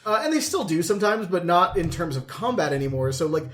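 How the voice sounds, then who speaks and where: A man's voice: sits high, sing-song, fast talker. A man co-hosting a casual podcast chat, telling anecdotes conversationally and swearing casually. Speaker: podcast co-host.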